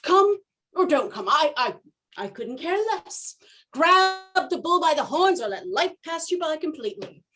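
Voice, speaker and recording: Woman acting melodramatic nagging mom, high voice, scolding, exasperated, theatrical stage comedy. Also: very animated, unhurried, bright, forceful tone, full character voice. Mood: huffy. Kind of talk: stage play comedy dialogue.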